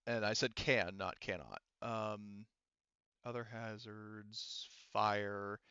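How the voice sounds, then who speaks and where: A man's voice: on the low side, steady tone, slow, sharp tone, clear, resonant tone, no echo, dull audio. A man talking casually and with some swearing as game master, explaining rules to players at a tabletop game. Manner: casual, profane.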